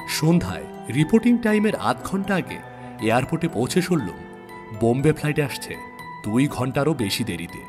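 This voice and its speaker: A man narrating a suspense story in the first person, with measured, dramatic delivery and deliberate pauses. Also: mid-range voice. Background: background music.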